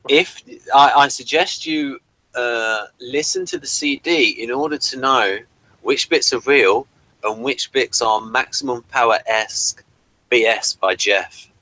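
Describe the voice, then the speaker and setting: A man's voice: medium pitch, a little slow, sharp tone, poor audio. A man hosting a casual review chat, asking questions and explaining things to his guest. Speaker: host of a review show.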